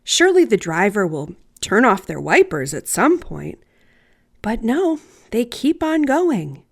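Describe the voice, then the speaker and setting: A woman storyteller reading a personal story aloud in a deliberate, theatrical, wryly humorous way. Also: on the high side, sing-song, gravelly. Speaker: writer and storyteller.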